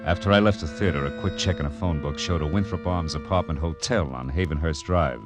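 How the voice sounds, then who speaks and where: A man's voice: a bass voice, brisk, over loud music. An actor playing a hardboiled, wry male private detective who narrates an old-time radio drama in terse, slangy phrases. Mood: narrating, intent. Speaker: lead and narrator. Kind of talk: 1940s-style radio detective narration and dialogue.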